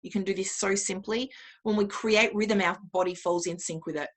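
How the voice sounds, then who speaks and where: A woman with a mid-pitched voice talks as an invited expert in an interview. She explains things conversationally and offers practical advice, illustrating her points as she goes. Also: steady tone, moderate pace. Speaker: interview guest, music therapist.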